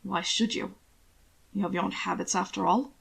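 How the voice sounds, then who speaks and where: A woman plays a proud nobleman in a storybook romance. He speaks slightly low, with dry, formal courtesy and a probing edge. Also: steady tone, normal speed, creaky, breathy edge, full character voice, rough recording. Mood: cool, courteous. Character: proud, probing aristocratic grandson, an alpha lord.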